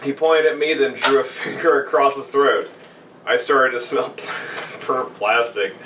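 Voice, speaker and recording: A man's voice: medium pitch, steady tone, unhurried, fairly bright tone, far from the mic. A man co-hosting a podcast, joking and giggly as he chats casually and reads stories aloud. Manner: joking, giggly, interjects often. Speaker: podcast co-host. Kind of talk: casual banter and creepypasta reading. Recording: roomy, off-mic, noisy background.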